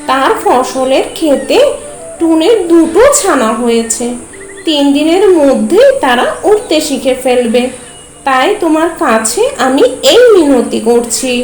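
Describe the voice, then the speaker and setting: An earnest, helpful crow from a cartoon folk tale, voiced by a woman, who pleads politely. The voice is high and lively, with a slow pace. It sounds like a roomy, echoey voice-over. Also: faint music behind. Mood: pleading.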